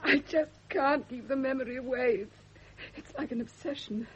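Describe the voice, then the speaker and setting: An actress playing a haunted, grieving Englishwoman in a radio drama, husky and breathy, near tears. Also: high voice, moderate pace, slight background noise, close to an everyday voice. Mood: distraught. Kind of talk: mid-century radio drama acting. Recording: dull old radio recording.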